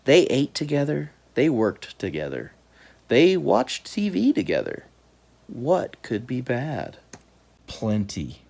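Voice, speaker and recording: A woman reading a children's book aloud in an animated, dramatic storytelling style. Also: extremely low pitch, takes it slowly, vocal fry. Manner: dramatic, expressive delivery.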